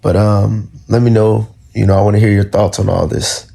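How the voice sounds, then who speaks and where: Low gravelly man giving frank advice. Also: flat delivery, normal speed, mellow. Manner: earnest, lecturing, repetitive. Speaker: commentator. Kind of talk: talking-to-camera commentary.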